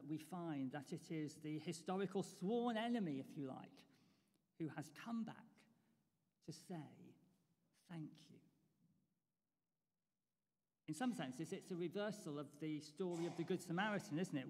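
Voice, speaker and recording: A man preaching a sermon in a church, earnest and measured, with pauses and small fillers. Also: high-pitched, a little mellow, in a large hall.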